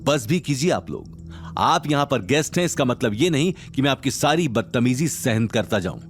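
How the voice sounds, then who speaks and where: Male narrator as a proud train captain, authoritative and formal, animated mid-range voice, audio drama. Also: medium pitch, moderate pace, soft background music, natural voice. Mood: angry, stern. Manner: formal, authoritative, English phrases mixed in. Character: proud, indignant male train captain, stern but deferential.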